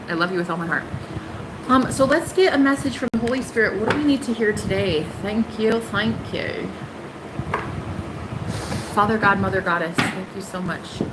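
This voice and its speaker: A young woman hosting a casual tarot livestream, sassy and emphatic, jumping high in pitch for punchlines. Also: very noisy.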